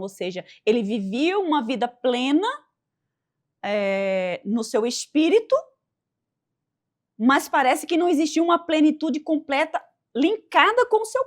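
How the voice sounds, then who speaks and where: A woman with a fairly high voice hosts a faith-based interview. She is enthusiastic and preacherly and keeps exclaiming at her guest's answers. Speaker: host.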